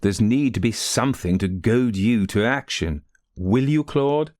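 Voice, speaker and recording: Storybook role: indignant, insistent rector's wife performed by a man, very animated and raised in pitch, dry close sound. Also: measured pace, dry recording. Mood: indignant, scornful. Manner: emphatic, goading, insistent. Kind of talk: audiobook character voice.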